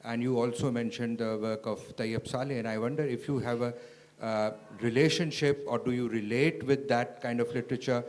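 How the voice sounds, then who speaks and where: A man asking a question at a panel, measured and searching for words. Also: medium pitch, steady tone, a little mellow.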